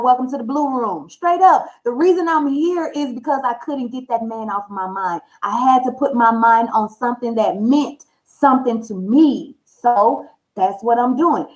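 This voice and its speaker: Woman, American accent, high pitch, casual vlog talk, candid, emphatic storytelling, salty slang. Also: darkish tone, poor audio. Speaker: host of a tarot reading channel. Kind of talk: casual personal talk to viewers.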